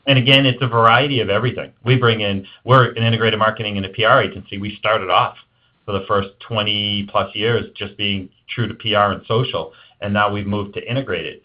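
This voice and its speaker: A man's voice: fairly low pitch, steady tone, brisk. A man speaking as an executive guest in an interview, giving emphatic, conversational advice. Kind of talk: interview answers on marketing. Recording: dull lo-fi band-limited audio.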